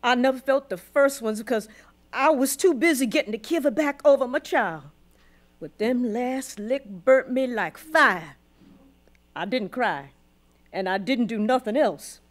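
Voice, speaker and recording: An old grandmother from the American South in a radio drama, speaking slowly and deliberately. She is weary but proud, once enslaved, and tells her past in folksy Southern dialect with deep feeling. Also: lively intonation. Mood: pained, intense. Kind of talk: radio drama storytelling monologue.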